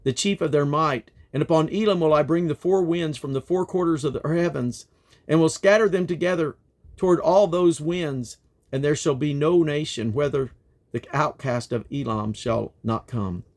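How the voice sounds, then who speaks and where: A man reading scripture aloud in a solemn, declamatory manner, with measured phrasing and plainly spoken references. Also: fairly high pitch, darkish tone. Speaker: Bible reader and host.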